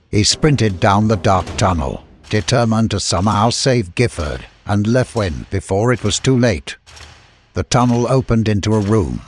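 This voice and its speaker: Guy narrating an adventure audiobook, lowish voice, dramatic read, lots of background ambience. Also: slight background noise. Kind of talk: dramatic scripted story narration.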